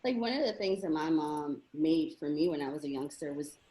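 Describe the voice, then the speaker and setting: A woman's voice: low voice, animated, a little fast, mellow, poor audio. A woman hosting a casual interview, speaking in an affirming, conversational way. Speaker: interviewer and host.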